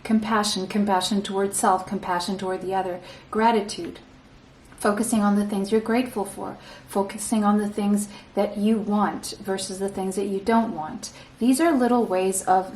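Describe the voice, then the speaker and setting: Woman from portugal doing a tarot reading, soft and reassuring, keeps clearing her throat, a bit off-mic in an echoey room. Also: mid-range voice, recorded from a distance, a little hiss or hum. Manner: soft, reassuring, often ends points with "okay?". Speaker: tarot reader. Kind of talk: pick-a-card tarot reading.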